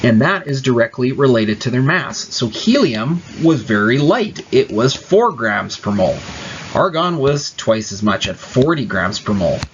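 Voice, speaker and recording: A man's voice: mid-range voice, sing-song, normal speed, slight background noise. A man teaching chemistry, explaining each step patiently in a casual tone with small fillers. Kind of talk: classroom explanation. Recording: dull lo-fi recording.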